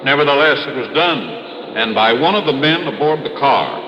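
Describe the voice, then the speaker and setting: A grave, domineering mine owner, acted by a man in an old radio serial. He speaks formally and emphatically at a medium pitch. The vintage broadcast is muffled. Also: expressive, moderate pace, noisy background, lightly acted. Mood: grave insistence. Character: grave, domineering male copper mine boss. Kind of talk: 1950s radio drama acting.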